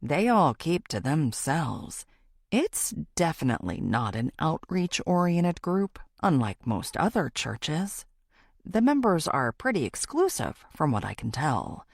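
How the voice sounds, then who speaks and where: A woman's voice: deep voice, sing-song, unhurried, gravelly, slightly rough, dry recording, clean studio sound, heavily acted. A woman voicing a charming, easygoing young man with a playful, teasing manner in an audiobook. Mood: wry, guarded. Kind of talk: audiobook character dialogue.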